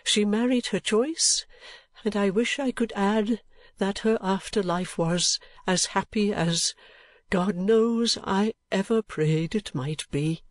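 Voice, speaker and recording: A man voicing a kindly, excitable, sentimental old gentleman in a storybook reading, with an emphatic, halting, drawn-out delivery. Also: sits high, dry recording, studio quality, voice pushed up. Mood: wistful, tender. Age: older.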